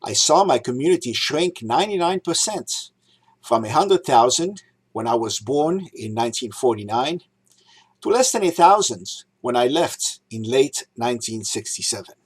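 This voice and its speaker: Older man, 60s, from Egypt, measured reminiscing delivery, slightly husky, middle pitch. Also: expressive. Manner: measured, reminiscing, lists items one by one. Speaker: rabbi giving a holiday talk. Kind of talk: prepared talk read aloud.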